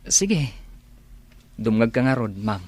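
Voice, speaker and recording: A man plays a reluctant male employee in a radio drama. He is respectful and hesitant, in an average-pitched voice. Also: sing-song, slow, a little mellow, husky, exaggerated voice. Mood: grave, frank. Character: reluctant, deferential male employee revealing painful truth.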